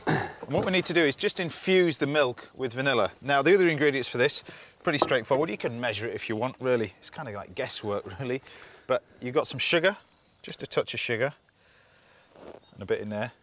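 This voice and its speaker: A man, a TV chef chatting through a cooking demonstration outdoors, instructive and quick to laugh. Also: medium pitch, lively intonation, fairly bright tone, slight background noise. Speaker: TV chef host. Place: outdoors at a campsite.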